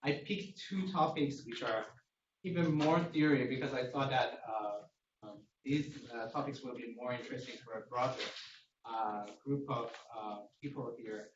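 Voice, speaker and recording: A man from Iran with an Iranian accent, giving an academic seminar talk with halting, hesitant phrasing. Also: medium pitch. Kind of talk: academic lecture. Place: indoor seminar room at a lectern. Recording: echoey room, distant mic, dull noisy feed.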